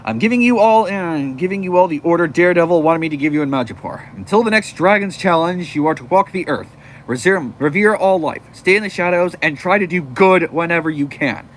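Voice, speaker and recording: A man's voice: fairly high pitch, lively intonation, brisk, natural voice. A man acting an earnest, eager boy superhero in a comic-book story, on a slightly noisy recording. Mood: resolute.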